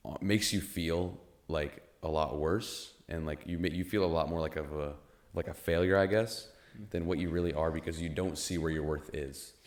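A young man hosting a podcast, musing in casual chat with halting restarts and frequent "you know". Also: rumbling low, fairly even intonation, darkish tone. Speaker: podcast host.

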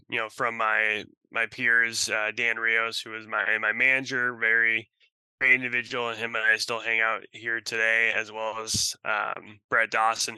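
Bright, crisp-voiced man, even delivery. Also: medium pitch, no room sound, rough recording. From Russia. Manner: rambling, peppered with "you know". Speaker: podcast guest, sports franchise sales and service executive. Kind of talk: casual interview answers.

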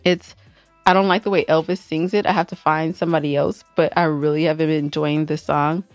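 A woman's voice: low voice, steady tone, a little fast, no echo, faint music behind. A woman co-hosting a podcast with casual, joking banter and a playful, teasing manner. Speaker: podcast co-host.

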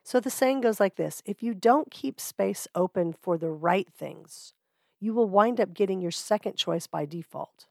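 A middle-aged woman talks through her solo podcast in a relaxed, candid way, laughing now and then. A cold has left her voice hoarse and gravelly, sitting at an ordinary middle pitch. The recording is dry and close. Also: unhurried, no echo. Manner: chatty, candid, laughs easily. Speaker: podcast host.

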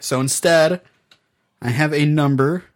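A man's voice: a little high, a little slow. A man hosting a casual podcast chat, laughing often as he runs a trivia game. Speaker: podcast host.

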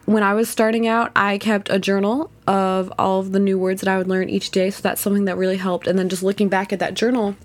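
A woman's voice: mid-range voice, level tone, fast, hardly any pauses. A young adult woman hosting a podcast, giving casual, chatty advice in an easygoing, conversational way. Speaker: podcast host. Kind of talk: solo podcast talk.

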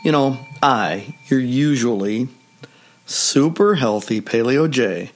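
A man hosting a solo health podcast with a measured, steady delivery, still hoarse from a recent cold. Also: mid-range voice, slight background noise. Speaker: podcast host.

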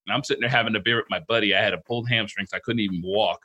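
A man's voice: lowish voice, fairly even intonation, very fast, sharp tone, slightly rough, lo-fi sound. A middle-aged man co-hosting a casual interview, telling stories with a rambling manner and frequent restarts. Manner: rambling, storytelling, many restarts. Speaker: podcast co-host.

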